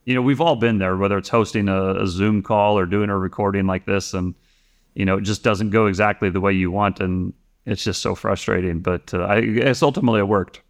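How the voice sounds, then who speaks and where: Deep-voiced man chatting on a podcast. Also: steady tone, brisk. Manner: rambling, many restarts and fillers. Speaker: podcast guest. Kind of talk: casual conversation.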